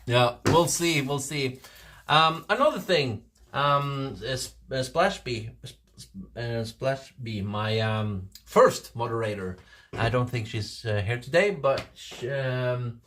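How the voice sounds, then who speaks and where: A man in his forties with a Norwegian accent chats to his viewers in a loose, rambling way, often stumbling over his words and laughing. He talks slowly, in an ordinary mid-range voice. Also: mid-range voice, a bit bright, slight background noise. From Norway. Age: 40s. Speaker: livestream host. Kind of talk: casual livestream chat.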